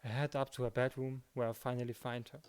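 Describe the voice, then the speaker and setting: A man's voice: mid-range voice, flat delivery, quick pace, darkish tone, smooth. A man reading first-person visual novel narration and the protagonist's lines in a dramatized way, with music behind him.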